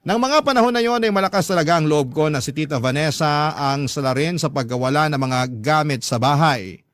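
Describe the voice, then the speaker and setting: A man's voice: on the high side, no echo. A man with a Filipino accent narrating a first-person story as a radio host, in an earnest, confiding and polite way.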